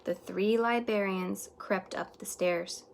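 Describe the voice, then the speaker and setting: Woman, medium pitch, teacher's storybook read-aloud, expressive, drawn-out emphasis, hushed moments. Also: creaky, a little hiss or hum. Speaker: teacher reading to students.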